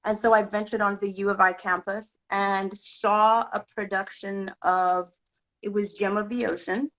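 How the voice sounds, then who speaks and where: A woman's voice: medium pitch, flat delivery, moderate pace, a bit bright, rough recording. A woman from Zimbabwe, a guest actor and director, telling her story in a podcast interview with frequent restarts.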